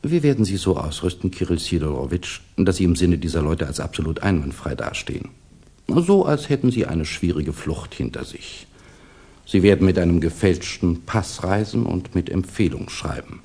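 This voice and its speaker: A suave Russian official from a 1960s radio play. His voice is low and mellow with a husky edge. He swings through his phrases with smooth, calculated courtesy. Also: very animated, moderate pace, full character voice. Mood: smooth, insinuating. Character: suave, insinuating Russian official recruiting an agent. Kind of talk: 1960s German radio drama acting.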